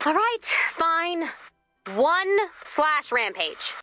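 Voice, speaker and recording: An actress playing an exasperated, peppy young team leader in a video game, with a wry, anxious, chatty manner. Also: female voice, extremely high pitch, very animated, drawn-out words, bright, forceful tone, soft background music, heavily acted. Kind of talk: scripted video game voice acting.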